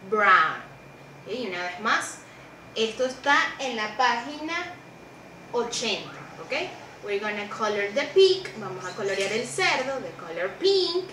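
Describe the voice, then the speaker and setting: Bright-voiced young woman teaching little kids. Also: mid-range voice, reverberant, far from the mic, a little hiss or hum. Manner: patient, repeats each word in two languages, checks in with "ok?". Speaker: preschool English teacher. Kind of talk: bilingual online class for young children. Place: small room indoors, seated beside a monitor.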